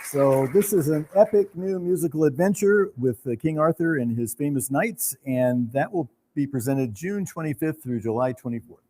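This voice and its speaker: A middle-aged or older man hosting a theater event, making announcements to an audience in a formal, gracious and halting manner. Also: on the high side, lively intonation, mellow, some chatter behind, a little hiss or hum, poor audio. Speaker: theater host announcing a new season.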